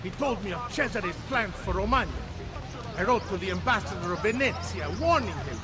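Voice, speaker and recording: An actor playing a talkative, debt-ridden senator in a video game, with an Italian accent and a theatrical, rambling, wisecracking delivery. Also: male voice, high voice, animated, brisk. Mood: rueful, chatty.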